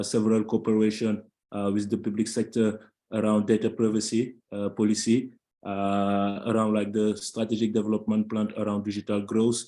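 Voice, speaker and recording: A man's voice: fairly low pitch, mellow. A man from Senegal speaking English with a Senegalese French accent, his first language being French, introducing himself on a panel over a phone, with many hesitations. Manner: halting, many uh fillers. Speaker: panelist, social entrepreneur.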